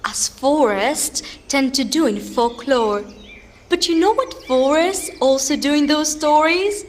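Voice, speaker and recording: A woman's voice: sits high, expressive, unhurried, a little hiss or hum. A woman playing a wry, matter-of-fact, nitpicking co-narrator in a fairytale audio drama. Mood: wry narration. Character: wry, nitpicking female co-narrator who punctures the mood. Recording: faint forest birdsong behind.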